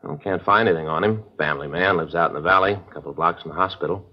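Laconic, low-voiced American police detective. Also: male voice, rapid-fire. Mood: matter-of-fact. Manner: laconic, understated, matter-of-fact. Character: plain-spoken, workmanlike male police detective partner. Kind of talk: 1950s radio police drama dialogue. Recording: muffled old radio recording.

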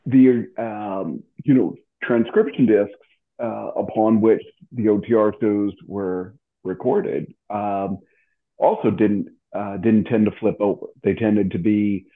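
Guy chatting casually, kinda low voice, stumbles and repeats, sounds like a phone call. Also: steady tone. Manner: halting, repeats himself. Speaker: radio show host. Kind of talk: casual chat about old radio.

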